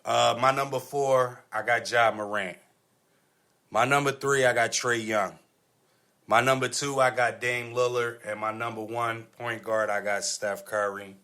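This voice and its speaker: A man's voice: medium pitch, steady tone, measured pace, pushed and bright. A man arguing his points insistently in a casual sports debate, often repeating himself as a podcast host.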